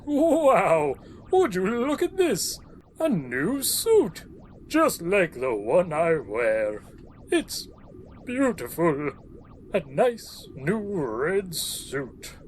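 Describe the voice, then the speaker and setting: An actor playing a jolly but weary, slightly sour old Santa Claus in a comic audio drama. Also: male voice, sits high, wildly swooping pitch, extremely slow, a little hiss or hum, exaggerated voice. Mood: delighted.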